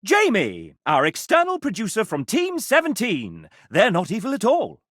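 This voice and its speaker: A man voicing a sassy, arch gentleman who narrates a dating video game in a theatrical, mock-genteel and sardonic manner. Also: sits high, wildly swooping pitch, moderate pace, no room sound, clean studio sound, heavily acted. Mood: arch, playful.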